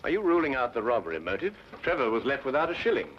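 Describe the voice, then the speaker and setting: A man's voice: medium pitch, very animated, quick pace, slight background noise, natural voice. An English actor playing a confident, nonchalant male detective with dry wit in an old-time radio drama. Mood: dry, wry. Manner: nonchalant, deductive, dryly witty. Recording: dull old radio recording, music behind.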